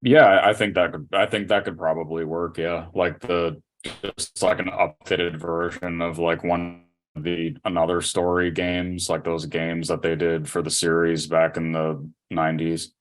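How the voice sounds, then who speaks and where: A man's voice: low-pitched, little pitch movement, measured pace. A man speaking casually as a guest on a podcast. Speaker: podcast guest, interviewer with an online channel. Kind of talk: casual chat.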